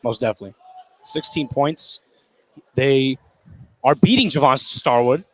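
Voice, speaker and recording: A man's voice: mid-range voice, a little slow, low-quality recording. A man doing casual, conversational color commentary on a live basketball game, with slangy reactions.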